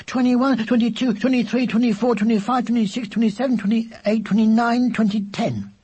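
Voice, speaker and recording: A narrator voicing a silly, chatty little boy who asks endless questions in a slow, innocent, drawn-out way in a children's story. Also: mid-range voice, big pitch swings, darkish tone, husky, pitched above the performer's own voice, full character voice. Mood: cheeky, insistent.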